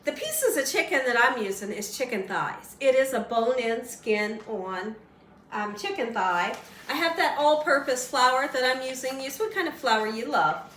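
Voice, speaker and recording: A woman hosting a home cooking show, chatty and folksy, slightly hoarse as she loses her voice, often asking "right?". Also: high-pitched, lively intonation, normal speed, fairly bright tone, echoey room, distant mic, a little hiss or hum. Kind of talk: casual cooking demonstration. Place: home kitchen.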